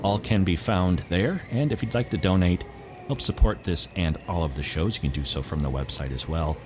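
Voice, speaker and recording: A man's voice: deep voice, moderate pace. A man hosting a podcast, reading a scripted show outro. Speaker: podcast host. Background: noise.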